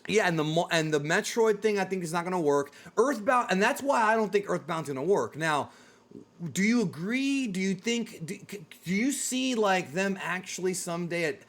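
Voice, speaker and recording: A man's voice: high voice, expressive, normal speed, a bit bright. A man hosting a casual, opinionated discussion, speaking emphatically and repeating himself to drive points home. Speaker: host of a video game and film talk show.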